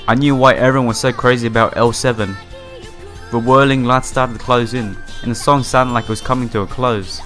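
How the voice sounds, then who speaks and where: A man's voice: medium pitch, steady tone, fairly quick, a bit bright. A man doing a playthrough of a visual novel, reading the text aloud with casual commentary and stumbling over some words. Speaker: let's player. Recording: background music behind the voice. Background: game music.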